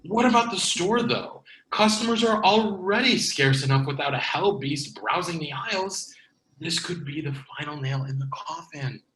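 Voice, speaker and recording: A man's voice: on the high side, normal speed, fairly bright tone, slight background noise, natural voice. A man playing a pragmatic, bossy video store clerk with an emphatic, mock-serious manner in a comedy table read. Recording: echoey room.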